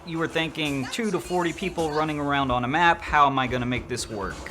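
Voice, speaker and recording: A man's voice: on the high side, fairly quick, music in the background. A man narrating an opinionated video game review in an informal, slangy, sarcastic way.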